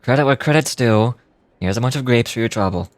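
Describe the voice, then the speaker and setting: An actor playing a blunt, sarcastic and exasperated warrior in a video game, with a dry manner. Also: male voice, mid-range voice, fairly quick, close to an everyday voice. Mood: mocking.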